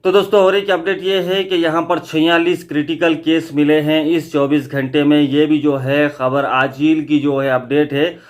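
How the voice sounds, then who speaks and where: A man's voice: on the high side, level tone, normal speed, few pauses. A man hosting a vlog, giving an informal news update and explaining points with repetition for emphasis. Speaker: vlog host.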